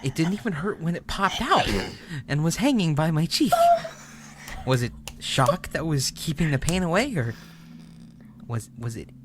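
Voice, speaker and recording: A man playing an obsessive, unhinged narrator in a horror story, speaking in a deliberate, halting, confessional way with stammered repeats. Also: a little high, lively intonation, background noise, natural voice. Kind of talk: dramatic first-person horror story reading. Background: music.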